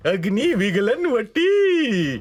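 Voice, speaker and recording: A man plays an obsessive, half-mad sorcerer of the forge in an audio drama. The words come very slowly and theatrically, with big swings in pitch. Music plays behind the voice. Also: big pitch swings, full character voice. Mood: fierce excitement. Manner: slow, drawn-out, dramatic delivery. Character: crazed, obsessive male sorcerer weapon-forger, thinking aloud. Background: background music.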